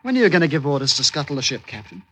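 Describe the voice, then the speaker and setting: An actor playing a timid, scheming young sailor lad, deferential and earnest, in an old-time radio drama. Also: male voice, on the high side, sing-song, rapid-fire, sharp tone, husky, heavily acted. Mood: quietly insistent. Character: timid, scheming ship's boy, soft-spoken young sailor. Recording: old radio recording with faint noise.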